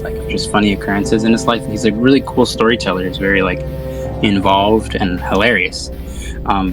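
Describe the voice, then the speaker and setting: A man chatting casually about films as a podcast host, with a slangy, sweary, rambling way of talking. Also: fairly low pitch. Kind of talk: casual film discussion chat.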